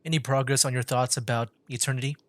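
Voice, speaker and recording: A woman's voice: extremely low pitch, steady tone, quick pace, slight background noise. A woman streamer giving casual gameplay commentary and reading dialogue aloud. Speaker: streamer playing a game.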